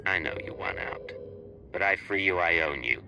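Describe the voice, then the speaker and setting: A cold undercover agent in a video game cutscene. His voice is deep and full of gravel, and he gives clipped, commanding orders over clearly audible music. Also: animated, normal speed, dull audio. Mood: menacing. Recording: music behind the voice.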